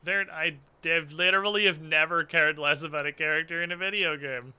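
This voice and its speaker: Sarcastic higher-voiced man streaming games. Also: steady tone, a little fast, pushed and bright, clear, resonant tone. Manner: sarcastic, mock-dramatic, profane. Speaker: game streamer. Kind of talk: casual gaming commentary. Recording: music playing behind. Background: game music.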